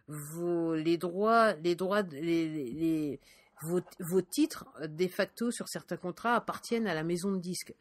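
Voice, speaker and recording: A woman's voice: on the low side, no echo, clean studio sound. A woman from France, an independent musician, talking casually in a monologue full of fillers and restarts. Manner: hesitant, many fillers and restarts.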